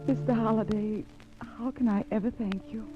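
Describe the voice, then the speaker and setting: Somewhat high female voice, actress as worried loving mother, emotional, earnest, vintage radio melodrama, dull recording. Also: steady tone, normal speed, over music, natural voice. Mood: grateful. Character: anxious, devoted widowed mother. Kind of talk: 1940s radio melodrama acting.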